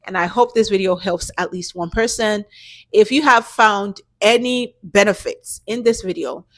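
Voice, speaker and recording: A woman hosting her own channel talks straight to the camera in a casual tutorial. Her voice sits at an average pitch, and her tone is direct and coaching as she urges viewers on. English is her second language. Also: normal speed. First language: not English. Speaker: YouTube channel host giving business grant tips.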